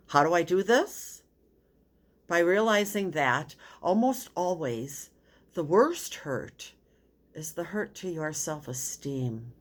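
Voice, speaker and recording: A woman narrating a self-help book as a scripted reading, with measured, deliberate phrasing. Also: deep voice. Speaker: audiobook reader.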